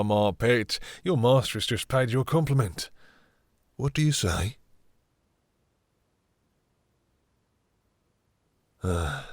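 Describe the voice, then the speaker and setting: A seductive, menacing vampire master, acted by a man for intimate roleplay audio. His low voice is husky and crackles with fry. He speaks with unhurried, condescending command, purring endearments as if to a possession. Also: no echo, studio quality, heavily acted. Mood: smug, controlling.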